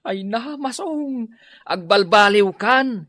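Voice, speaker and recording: Mocking, tight-fisted Ilocano neighbour, animated. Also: male voice, high voice, slow, no echo, studio quality, heavily acted. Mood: mocking, irritated. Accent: Filipino, Ilocano. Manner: curt, mocking, grumbling. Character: stingy, dismissive neighbour scolding a lazy moocher. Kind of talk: Ilocano radio comedy drama acting.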